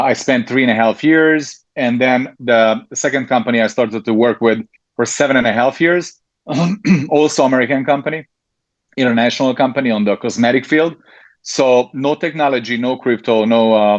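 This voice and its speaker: A man's voice: medium pitch, no room sound, highs cut off. A man with a Hungarian accent, answering interview questions as a network marketing leader, hesitant with frequent ums and restarts.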